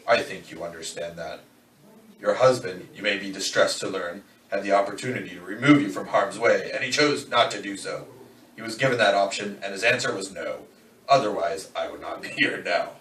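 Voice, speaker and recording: In a roomy, slightly noisy recording, a low-voiced killer acted by a man speaks with measured menace. He is philosophical and formal, a cold fatalist in a dramatic reading of a novel. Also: a bit bright, lightly acted. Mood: calm, philosophical. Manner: measured, deliberate, formal phrasing. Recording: roomy, distant, faint background noise.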